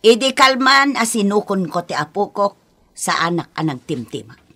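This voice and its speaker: A woman playing a stern, protective grandmother in a radio drama, forceful and scolding. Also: medium pitch, very animated, moderate pace. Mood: confronting. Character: stern, protective grandmother confronting her daughter-in-law. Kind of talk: Ilocano radio drama acting.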